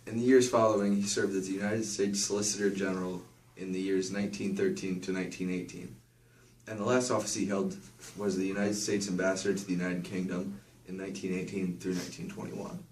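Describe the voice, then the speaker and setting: A man's voice: sits low, little pitch movement, brisk, darkish tone. A man reading scripted news as the co-anchor of an amateur newscast. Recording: echoey room, distant mic, noisy.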